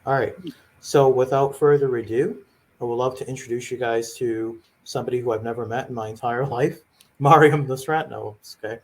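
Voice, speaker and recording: A man hosting a live online pitch event, chatty and teasing, introducing speakers with jokes. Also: steady tone, a little fast. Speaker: event host. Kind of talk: casual live-stream hosting.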